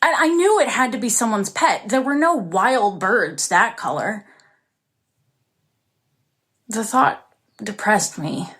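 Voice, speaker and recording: A woman's voice: fairly high pitch, expressive, slow, pauses often, fairly bright tone, husky, heavily acted. An actress playing an isolated, anxious young woman who recounts her story in an intimate, confessional way for an audio drama. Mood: wondering. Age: young adult. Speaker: actress performing a monologue. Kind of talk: dramatic first-person audio drama narration.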